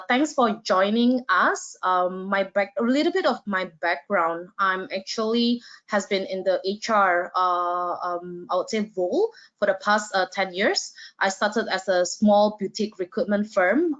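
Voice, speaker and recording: A woman's voice: medium pitch, unhurried, hardly any pauses, fairly bright tone. Hesitant woman recruiter. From Indonesia. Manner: hesitant, many fillers. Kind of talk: online panel introduction. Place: Singapore. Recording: dull lo-fi video call audio.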